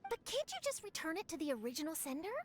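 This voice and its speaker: A woman's voice: very high voice, big pitch swings, fast. A woman voicing a perky, eager young female news reporter in a video game, with a bubbly manner. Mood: inquisitive.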